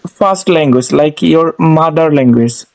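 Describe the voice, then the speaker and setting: Slow-spoken man from India. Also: on the high side, vocal fry, darkish tone, low-quality recording. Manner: simple phrasing, calls partner brother. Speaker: conversation partner. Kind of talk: casual language-practice chat.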